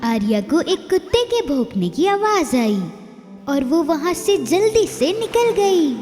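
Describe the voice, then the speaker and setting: A woman storyteller narrates a children's fairy tale in a high, soft-toned voice with lively swings in pitch. She takes her time. The recording has long reverb and faint music behind it. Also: slow pace.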